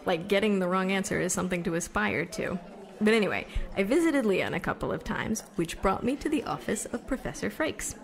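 A woman's voice: medium pitch, lively intonation, fairly quick, creaky. Audio drama role: young American woman, eager for fame, casual and self-deprecating, mid-range voice, conversational monologue. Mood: chatty, confiding. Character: chatty young Somerville woman set on becoming famous. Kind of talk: conversational stage storytelling monologue.

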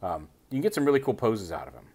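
Male toy reviewer with a lowish voice, relaxed hands-on walkthrough at a tabletop. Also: fast, gravelly, husky. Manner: casual, explains step by step while handling a figure.

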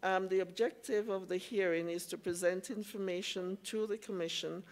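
A middle-aged woman chairing a formal hearing, speaking hesitantly with frequent pauses into a table microphone. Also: medium pitch, fairly even intonation. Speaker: commissioner chairing a public hearing. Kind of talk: formal procedural remarks, halting. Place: conference hall hearing room. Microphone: gooseneck table microphone.

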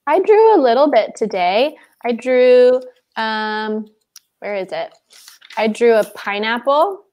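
A young woman, an English teacher, chatting casually on a home video call, relaxed and using lots of filler words. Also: a little high, slow pace, dull audio. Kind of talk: casual livestream lesson chat. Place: small room at home, indoors. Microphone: earphone mic on a video call.

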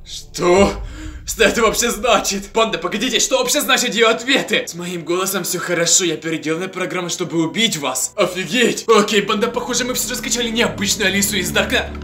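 Excitable young man, high bright voice. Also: brisk. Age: young adult. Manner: excitable, dramatic, swings to hushed fear. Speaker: vlogger. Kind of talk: staged horror vlog. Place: small room at home, indoors.

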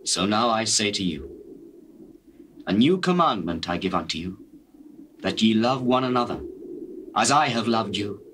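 An actor playing a solemn, gentle, authoritative religious teacher in a measured, declamatory scripture drama. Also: male voice, on the low side, animated, a little hiss or hum. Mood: solemn, tender. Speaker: actor in dramatized Bible recording. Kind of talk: dramatized scripture reading in archaic English.

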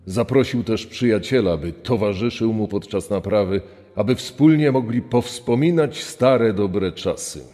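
A man's voice: on the low side, unhurried, dark tone. A man narrating a scripted true-crime story, his voice trailing a long reverb.

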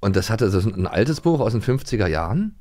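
Male podcast co-host, 30s, low and expressive, warm-hearted and enthusiastic. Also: a little fast. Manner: enthusiastic, nostalgic, chuckling. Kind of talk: casual chat.

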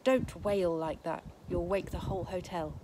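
A woman's voice: lowish voice, fairly even intonation, moderate pace, background noise, close to an everyday voice. A woman doing a blunt, cocky boy. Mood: urgent. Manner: blunt, offhand, dismissive. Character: wary, cocky rooftop boy. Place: garden outdoors.

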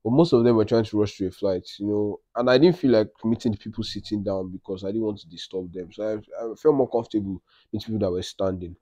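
A man's voice: fairly low pitch, quick pace, dry recording. A young man with a Nigerian accent chatting casually to camera as a travel vlogger, telling stories with Pidgin slang and quoting himself. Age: young adult. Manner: animated storytelling with Pidgin slang and self-quoting. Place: living room, indoors.

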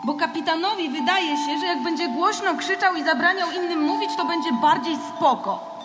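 Captive space alien, high voice, frank, conversational, audio drama, cavernous reverb. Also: female voice, normal speed. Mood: gently teasing. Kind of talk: theatrical audio drama acting. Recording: long reverb, loud music underneath.

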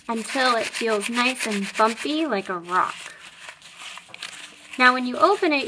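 A woman's voice: on the high side, noisy background. A woman, an elementary art teacher, demonstrating a craft step by step in a simple, upbeat way for young children.

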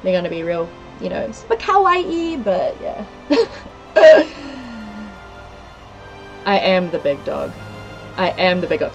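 A woman's voice: fairly high pitch, low-quality recording. Chatty Kiwi woman on a game stream. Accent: New Zealand. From New Zealand. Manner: chatty, giggly, lists place names from chat. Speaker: livestreamer. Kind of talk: casual game-stream chat, reading out viewer comments. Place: small room indoors. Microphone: headset.

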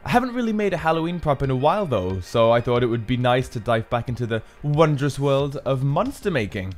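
A man's voice: medium pitch, big pitch swings, brisk. A man giving a casual, explanatory how-to narration as a maker presenting his build. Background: background music.

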